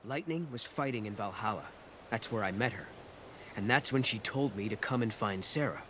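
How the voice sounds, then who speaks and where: An actor playing an earnest, steadfast young hero in a video game cutscene. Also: male voice, lowish voice, moderate pace, bright, forceful tone, slight background noise. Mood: reflective.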